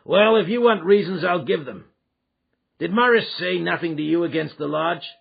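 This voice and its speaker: A narrator acts out a big, bullying lodge boss from an old American crime story. The voice is husky and swings widely, pitched up and pushed loud. He is blunt and domineering, full of folksy menace. The sound is a bit dull. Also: lively intonation, moderate pace, exaggerated voice. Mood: menacing. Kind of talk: audiobook character acting.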